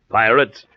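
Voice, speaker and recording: An actor playing a roguish, sly and jovial pirate captain with a drawling manner in an old-time radio drama. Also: male voice. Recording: muffled vintage radio recording.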